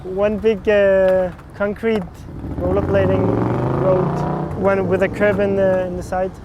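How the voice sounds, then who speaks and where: A young man with a Danish accent, giving short, halting answers in a casual skateboarding interview. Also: high voice, completely flat delivery, highs cut off. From Copenhagen, Denmark. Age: young adult. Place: outdoors in the city. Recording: loud outdoor traffic noise. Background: loud traffic and city ambience.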